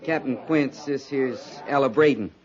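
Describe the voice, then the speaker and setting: An actor playing an eager, folksy veteran cavalry sergeant with rustic speech in a 1950s radio drama. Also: male voice, mid-range voice, measured pace, darkish tone, natural voice. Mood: flustered. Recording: muffled 1950s radio, background crowd.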